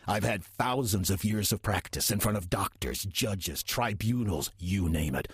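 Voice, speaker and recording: Slothful demon in human guise, played by a man: heavily husky, animated delivery, sardonic and coaxing, for radio drama. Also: lowish voice, a little slow, full character voice. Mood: coolly menacing. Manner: sardonic, casual, persuasive. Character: wry, slothful male demon posing as a friendly churchgoer. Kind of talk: audio drama dialogue.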